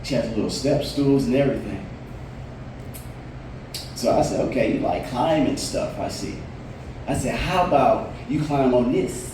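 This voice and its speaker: A man's voice: mid-range voice, moderate pace, pauses often, distant mic, background noise. A man in his late forties doing a stand-up comedy set in a bar, telling stories in a laid-back way with punchlines. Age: 40s. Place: bar stage. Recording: roomy bar, voice from afar.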